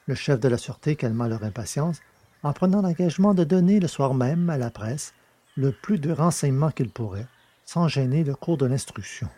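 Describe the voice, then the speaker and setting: A man reading scripted literary narration as an audiobook narrator, with a mellow, slightly husky voice. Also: mid-range voice, expressive, normal speed.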